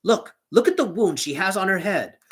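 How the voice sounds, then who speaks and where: A man voicing a clever young peasant in a fairy tale, speaking in a raised, excited voice. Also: fairly high pitch, brisk, lightly acted. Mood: outraged.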